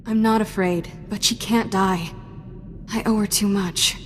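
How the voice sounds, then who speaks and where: An actress playing an anxious, loyal young Jedi padawan in a video game. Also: female voice, medium pitch, fairly even intonation, a little slow, natural voice. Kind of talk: video game cutscene acting. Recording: long hall reverb, music behind.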